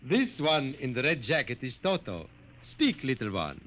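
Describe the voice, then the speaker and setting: An actor playing a boastful, mysterious master dollmaker in a 1950s radio drama, speaking in grandiose, formal phrases. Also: male voice, mid-range voice, wildly swooping pitch, moderate pace. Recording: old 1950 radio recording, faint music.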